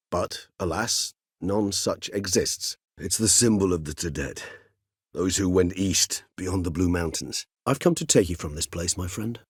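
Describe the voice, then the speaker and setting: An actor playing a courteous, erudite, world-weary centuries-old vampire in a video game, speaking in measured, eloquent, formal phrases. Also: male voice, sits low, breathy edge, no echo, studio quality. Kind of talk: video game character dialogue.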